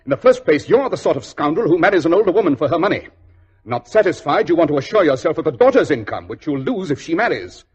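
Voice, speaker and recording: An actor playing a shrewd, stern gentleman detective in a precise, theatrical 1940s radio style. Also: on the high side, very animated, fast talker. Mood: scornful. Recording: dull, husky old radio recording.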